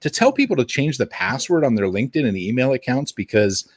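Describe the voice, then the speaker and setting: A man's voice: mid-range voice, sing-song, brisk, breathy edge, no room sound. A man co-hosting a podcast, giving casual, explanatory commentary in a conversational way.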